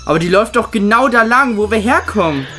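A man's voice: high voice, lively intonation, fast talker, sharp tone. Guy reading his part in a homemade audio play, music going behind him. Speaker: cast member playing himself in audio play. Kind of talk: amateur scripted audio drama dialogue.

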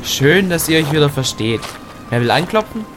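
A man's voice: a little high, big pitch swings, moderate pace, rough recording. A young man in scripted audio drama dialogue, sounding like the sensible peacemaker of a comic group. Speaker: performer playing himself in audio drama. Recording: music bed behind the voice.

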